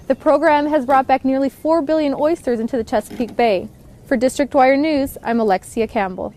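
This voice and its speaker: A woman's voice: high voice, fairly quick, a little mellow. A young woman reporter delivering a scripted news voice-over.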